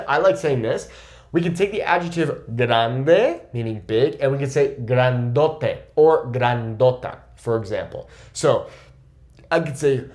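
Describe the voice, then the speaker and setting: A young man teaching Spanish in a casual video lesson, explaining points in a lively way and often checking in with "okay?". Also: medium pitch.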